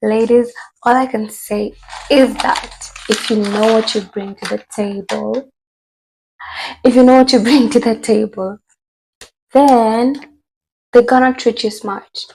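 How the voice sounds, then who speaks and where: A woman talking casually to her viewers, chatty and rambling with many fillers, her voice hoarse from a cold. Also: on the high side, fairly even intonation, slow pace, long pauses, darkish tone, slight background noise, poor audio. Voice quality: husky, gravelly. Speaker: vlogger. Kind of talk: casual commentary to camera.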